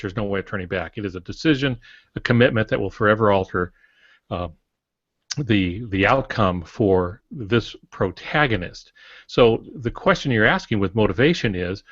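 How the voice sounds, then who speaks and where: An older man explaining things in a halting, digressive way as a co-host in a panel discussion. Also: lowish voice, a bit bright. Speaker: podcast co-host, writing instructor. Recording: muffled, roomy lo-fi podcast audio.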